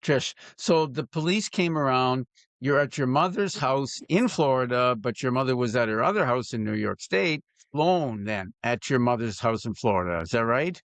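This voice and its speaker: A man hosting a podcast, interviewing conversationally with careful, halting clarifying questions. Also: mid-range voice, animated, a bit bright, no room sound.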